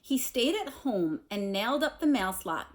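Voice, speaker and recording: A woman reads a storybook aloud with a very animated pitch. She sounds like a teacher, slipping from expressive narration into casual explanations. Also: normal speed.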